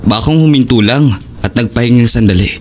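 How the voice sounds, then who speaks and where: An actor playing a calm, reassuring husband and father in an audio horror drama. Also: medium pitch, quick pace, slight background noise, close to an everyday voice.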